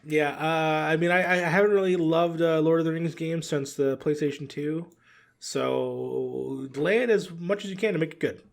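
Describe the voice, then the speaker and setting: A man's voice: a little high, normal speed, vocal fry. A man chatting informally as a guest game reviewer on a gaming podcast. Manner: informal, conversational. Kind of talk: casual gaming podcast chat.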